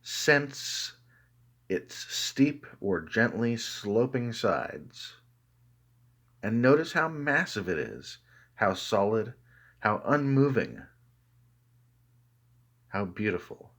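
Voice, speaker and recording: Deep voiced guy leading a meditation, really slow n calm, lots of pauses. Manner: calm, measured, with deliberate pauses between phrases. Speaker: meditation guide. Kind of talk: guided meditation narration.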